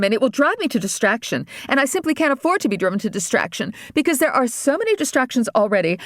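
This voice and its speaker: A woman voicing a bratty governor's daughter who complains in a shrill, melodramatic voice. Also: fairly bright tone, no echo, studio quality.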